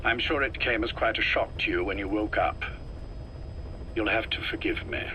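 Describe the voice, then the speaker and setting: An actor playing an ailing, calculating older intelligence chief in a video game, speaking in a measured, deliberate and politely menacing way. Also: male voice, lowish voice, bright, forceful tone, a little hiss or hum, rough recording. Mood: cool, controlled. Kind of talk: scripted game dialogue, dramatic acting.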